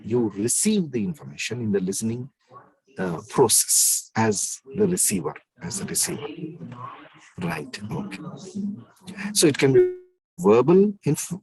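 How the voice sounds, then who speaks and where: A man with a deep bass voice, lecturing an online class in an emphatic way and repeating key phrases. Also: sing-song, measured pace, creaky, pauses often, a crowd in the background, poor audio.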